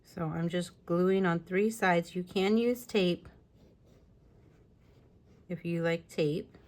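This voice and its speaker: A woman with a lingering raspy voice talking casually through a scrapbooking tutorial at home, with chatty, apologetic asides while she works. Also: fairly low pitch, fairly even intonation, slow pace, a little mellow. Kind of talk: casual crafting tutorial talk. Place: home craft room. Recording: faint room noise from fan. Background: fan running.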